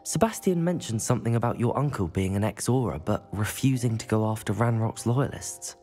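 A young man playing a polite, curious student wizard in a video game, courteous and measured. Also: fairly low pitch, lively intonation, mellow. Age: young adult. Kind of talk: video game character dialogue. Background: background music.

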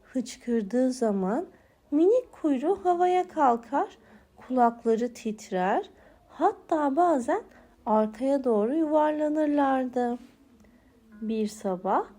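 Female children's storyteller, very high and unhurried, for bedtime tales. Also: expressive, dark tone. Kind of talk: scripted bedtime story narration for small children.

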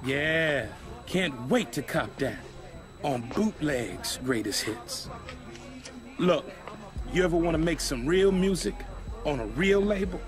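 An actor playing an arrogant rival rap label star in a video game, mocking and swaggering. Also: male voice, on the high side, animated, takes it slowly, over music. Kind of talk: video game cutscene acting.

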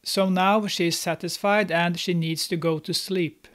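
A young man with a fairly high voice narrates a film recap, steady and scripted. His tone stays snarky and mocking as he pokes fun at the characters. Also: a little slow. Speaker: movie recap host. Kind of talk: sarcastic movie recap narration. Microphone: studio mic, on camera.

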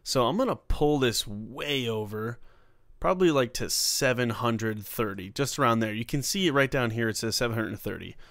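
A man hosting a casual software tutorial, talking informally through each step as he works. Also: mid-range voice, animated, moderate pace, vocal fry, no echo. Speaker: tutorial host.

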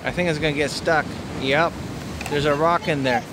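A man's voice: on the high side, steady tone, moderate pace. A man filming and coaching a small child at play on an outdoor beach, patiently repeating simple instructions from behind a handheld phone camera. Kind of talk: casual talk to a toddler. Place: outdoors on a sandy lakeshore beach. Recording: outdoor wind and wave noise.

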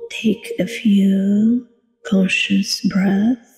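Very slow, creaky-voiced woman guiding meditation. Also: medium pitch, steady tone, darkish tone. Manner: soothing, deliberate, long pauses between short phrases. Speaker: meditation guide, coach. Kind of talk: guided meditation narration. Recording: long reverb with music behind. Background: meditation music.

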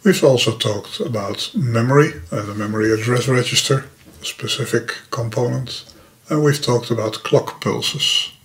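A man's voice: medium pitch, moderate pace. An older man with a Dutch accent, a native Dutch speaker, patiently and methodically explaining a computer topic in a screen-recorded lesson at his desk, now and then tripping over a word. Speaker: hobbyist teacher. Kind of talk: screen-recorded programming tutorial. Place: home workshop room with electronics.

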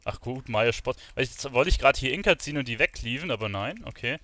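A man's voice: mid-range voice, lively intonation, fairly quick, pushed and bright, dry recording. Casual male gamer, close and dry. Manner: informal, thinking aloud, with English gamer slang. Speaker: streamer. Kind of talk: casual live gaming commentary.

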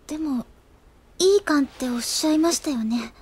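An actress playing a sharp, polite teenage schoolgirl in an anime audio drama, matter-of-fact and a little tart. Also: high-pitched, moderate pace, natural voice.

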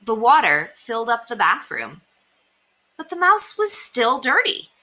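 A young woman reading children's stories aloud as an expressive storyteller. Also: a little high, a little slow. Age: young adult. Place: small indoor room.

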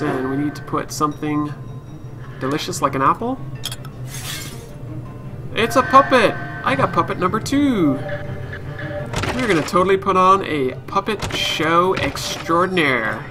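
A man's voice: fairly high pitch, a little slow, long pauses. A man giving casual live let's play commentary, musing aloud to himself and his viewers. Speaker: game streamer. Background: game music.

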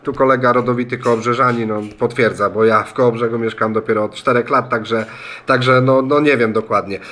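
A man's voice: mid-range voice, fairly even intonation, a little fast, fairly bright tone. A man in a radio club room, speaking in a friendly, slightly hesitant way as he talks on the radio. He spells out call signs. His voice is picked up by a phone lying in the room. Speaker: ham radio student operator. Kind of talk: amateur radio contact. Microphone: phone recording in the room.

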